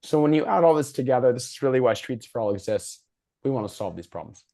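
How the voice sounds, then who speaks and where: Hoarse man moderating a civic discussion. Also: medium pitch, expressive, fast, warm tone. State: hoarse, losing his voice. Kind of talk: prepared presentation with informal delivery. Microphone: video call.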